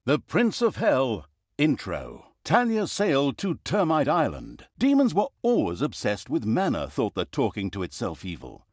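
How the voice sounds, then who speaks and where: Sardonic, wildly swooping fantasy game storyteller. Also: male voice, medium pitch, huge pitch swings, moderate pace, husky, dry recording, studio quality. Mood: wry, mock-grand. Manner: wry, mock-grand, fourth-wall-breaking storyteller. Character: sardonic, theatrical male storyteller of an evil fantasy realm. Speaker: video game narrator. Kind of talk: theatrical scripted comic fantasy narration.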